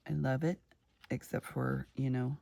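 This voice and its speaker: A middle-aged woman chatting casually while crafting, her voice a little gravelly from just waking up, rambling warmly from one story to the next. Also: rumbling low, level tone, a little slow, dry recording. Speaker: craft vlogger.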